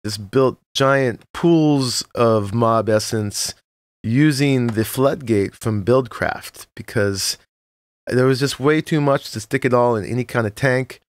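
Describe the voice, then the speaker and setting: A man with a slightly froggy morning voice, narrating a relaxed, rambling tour of his game world with many "uh"s and short laughs. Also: medium pitch, slow pace, dry recording. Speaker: Minecraft let's-play host. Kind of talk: casual gameplay tour narration.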